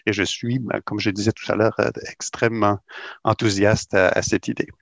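A middle-aged Québécois man talks in a low, gravelly voice, recorded dry and close. He explains his work at an unhurried pace, full of hesitations and repeated words. He sounds like a university administrator being interviewed on radio. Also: flat delivery, dry recording. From Quebec. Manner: hesitant, many "euh" fillers and repeated words.

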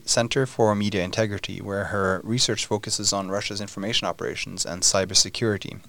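A man's voice: on the low side, fairly bright tone. A middle-aged man hosting an interview podcast, asking long questions with frequent fillers and restarts. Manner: halting, many fillers and restarts. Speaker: podcast host.

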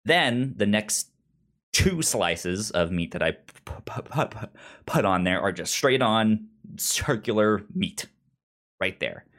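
A man's voice: lowish voice, animated, takes it slowly, a bit bright. Man with a stutter, chatting casually. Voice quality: stutters, repeating syllables and sounds. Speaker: podcast co-host. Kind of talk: casual banter chat.

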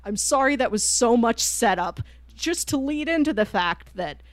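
A woman co-hosting a book podcast in casual conversation, telling stories in an animated, informal way. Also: fairly high pitch, moderate pace, no room sound. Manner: animated storyteller, acting out quoted lines informally. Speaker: podcast co-host reviewing books.

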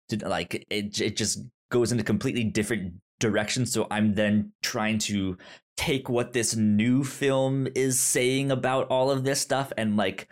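Slow, halting man with a stammer. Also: lowish voice. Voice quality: stammer, repeating sounds and words. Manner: halting, rambling. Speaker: podcast co-host reviewing films. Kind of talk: casual movie discussion.

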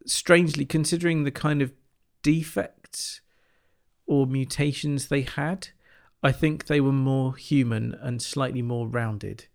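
A man giving his view in a casual review chat. His voice is mid-pitched with noticeable vocal fry, and he thinks aloud haltingly. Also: fairly even intonation. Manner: hesitant, thoughtful, halting. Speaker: podcast panellist.

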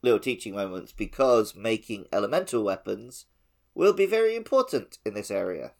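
Low, gravelly-voiced podcast co-host man. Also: sing-song, moderate pace, a little mellow. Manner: laid-back, dry teasing humor. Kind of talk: casual gaming podcast chat.

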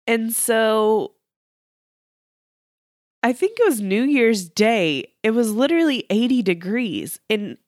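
A woman from Texas co-hosting a podcast, chatty and giggly, her voice a little deep from morning allergies. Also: big pitch swings, slow pace, pauses often, a little mellow, no room sound, studio quality. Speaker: podcast co-host. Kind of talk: casual chat.

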